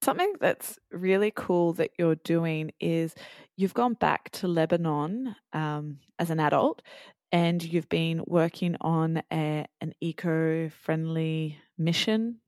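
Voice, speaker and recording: Low-voiced female interviewer, dry sound. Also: slow pace, darkish tone, no room sound. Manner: curious, encouraging. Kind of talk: interview questions.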